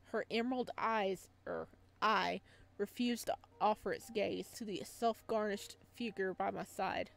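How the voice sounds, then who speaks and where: A woman reading a visual novel aloud as a let's player, expressive and now and then stumbling over the words. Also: medium pitch, measured pace, creaky. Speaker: video game let's player.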